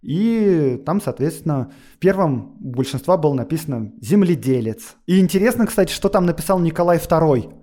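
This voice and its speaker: A man's voice: on the high side, animated, normal speed, dark tone. A man explaining history on a podcast in a lecturing way, with frequent fillers and asides. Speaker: guest historian.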